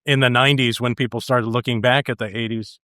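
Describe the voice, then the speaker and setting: A man's voice: mid-range voice, fairly even intonation, fast talker, bright, forceful tone, dry recording. Man in casual music chat, dry sound. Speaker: podcast host.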